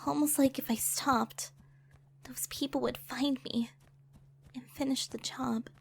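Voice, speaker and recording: A woman's voice: sits high, steady tone, measured pace, vocal fry. A woman playing a traumatized, fearful young bard who tells her story in an audio drama. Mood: wistful. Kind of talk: audio drama narration with emotional acting. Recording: music under the voice.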